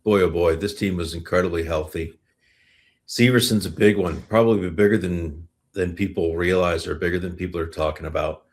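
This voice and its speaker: A man's voice: low-pitched, steady tone, a little fast. A North American man hosting a casual, unscripted sports discussion. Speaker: sports podcast host.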